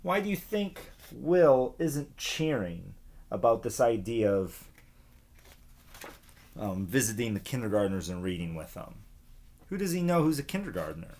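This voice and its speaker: A man reads a children's chapter book aloud at an unhurried pace. His pitch moves around a lot, and he breaks off to explain things and put questions to his young listeners. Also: big pitch swings, a little mellow. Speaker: teacher reading aloud to children.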